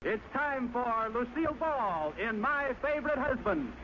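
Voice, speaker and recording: A man's voice: very high-pitched, expressive, measured pace, heavy background noise. A man announcing a comedy in old-time radio style, with a lo-fi sound. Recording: dull lo-fi sound, loud music.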